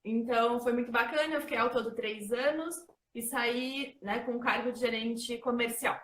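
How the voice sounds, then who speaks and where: A woman in her thirties with a São Paulo accent, speaking in a somewhat high voice. She talks chattily, filling her sentences with little tags. The room around her echoes. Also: steady tone, normal speed, fairly bright tone. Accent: São Paulo Portuguese. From São Paulo, Brazil. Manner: chatty, many fillers like "né" and "então". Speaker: host and facilitator. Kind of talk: casual presentation talk.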